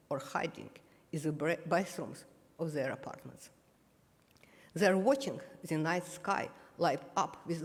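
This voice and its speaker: Older woman, reverberant hall. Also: on the low side. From Ukraine (Kyiv). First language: Russian. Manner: measured, solemn, small slips of the tongue. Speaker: guest speaker at remembrance ceremony. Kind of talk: read personal reflection.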